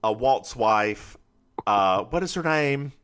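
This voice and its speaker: Animated Southern man recapping a movie. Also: medium pitch, slow, clean tone. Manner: animated storyteller, slips into voices while recapping. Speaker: podcast co-host. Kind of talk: casual movie recap chat.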